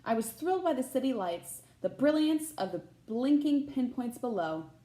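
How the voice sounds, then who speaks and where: A woman's voice: on the high side, lively intonation, normal speed, warm tone, roomy sound, pitched above the performer's own voice, natural voice. A woman reading the part of a poised, adventurous First Lady in a children's storybook, speaking with enthusiasm. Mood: thrilled, assured.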